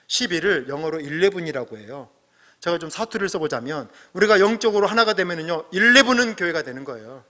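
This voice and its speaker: A middle-aged man preaching a sermon as pastor, earnest, swelling to emphatic peaks and dropping to soft asides. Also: sits high, animated, quick pace. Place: church sanctuary. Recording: long hall reverb.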